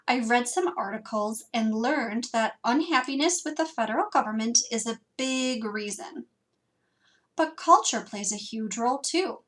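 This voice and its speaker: A young woman from Wisconsin, speaking with a Wisconsin accent, a librarian giving a scripted lesson in a lively, self-teasing way full of questions. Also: a little high, unhurried. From Wisconsin, USA. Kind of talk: scripted instructional narration.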